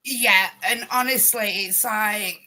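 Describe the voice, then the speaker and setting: A woman's voice: mid-range voice, takes it slowly, bright, forceful tone, slight background noise. A woman from Nottingham with an East Midlands accent, co-hosting a podcast in casual, joking chat and correcting herself as she goes. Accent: English East Midlands. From Nottingham, England. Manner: chatty, self-correcting, joking. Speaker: podcast co-host.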